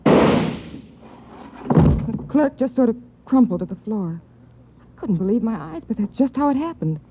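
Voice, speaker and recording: An actress playing a shaken young woman recalling a crime she witnessed in a 1940s radio drama, halting and stammering. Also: a little high, lively intonation, noisy background. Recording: thin phone-like 1940s radio.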